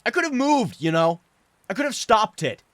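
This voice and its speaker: A man reading a story, voicing a tormented young man who stammers and shouts at his father through tears. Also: high voice, very animated, normal speed, no room sound, pitched above the performer's own voice. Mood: anguished shouting. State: crying. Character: tormented young man, broken, furious, sobbing son.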